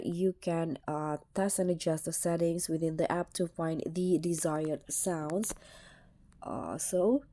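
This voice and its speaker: Mellow low-voiced woman hosting a tutorial. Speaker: tutorial host. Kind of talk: casual step-by-step tech tutorial narration.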